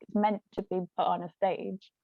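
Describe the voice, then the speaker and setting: A woman's voice: medium pitch, level tone, normal speed, gravelly. A woman chatting in a rambling way as a guest in a casual discussion. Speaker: guest author and actress. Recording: muffled lo-fi phone-like audio, roomy echo.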